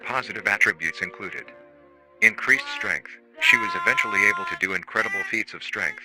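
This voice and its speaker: A man playing a formal, matter-of-fact research-facility narrator on an analog horror staff training tape, calmly chilling and euphemistic. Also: fairly low pitch, a little fast, loud music behind the voice, poor audio. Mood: clinical, detached.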